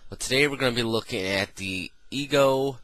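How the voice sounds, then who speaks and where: A man's voice: mid-range voice, normal speed, fairly bright tone. A man reviewing a gadget in a casual, rambling way, full of ums and uhs, sounding nasal from a cold. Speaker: product reviewer.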